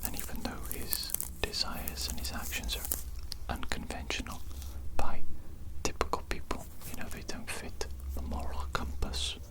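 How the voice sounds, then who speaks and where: A shady mad doctor, played by a woman, murmurs in a breathy whisper for an ASMR roleplay. The delivery is formal and deliberate, with phrases gently repeated. It sounds courteous and eerily sympathetic, with an evil undertone. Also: lightly acted, rough recording. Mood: earnest, wistful.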